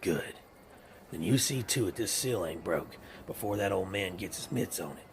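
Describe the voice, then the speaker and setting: An actor playing a scheming, menacing western gang boss with a gravelly voice and a rural American drawl, commanding and slyly coaxing, in an audio drama. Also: male voice, sits low, animated, moderate pace, background noise.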